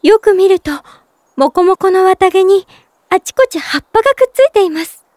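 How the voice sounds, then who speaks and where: A woman playing a gentle, polite young woman in love, narrating in character for a drama CD with sweet earnestness. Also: very high-pitched, lively intonation, normal speed, no echo, clean studio sound. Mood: fond, serene.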